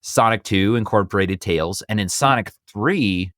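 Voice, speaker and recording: A man's voice: on the low side, a little slow, a bit bright, dry recording, studio quality. A man hosting a casual film discussion, talking in an enthusiastic, conversational fan's way. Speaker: podcast host.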